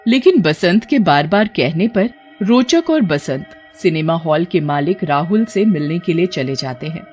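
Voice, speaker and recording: A woman's voice: fairly low pitch, expressive, measured pace, darkish tone, soft background music. A woman reading scripted narration for a horror cartoon story.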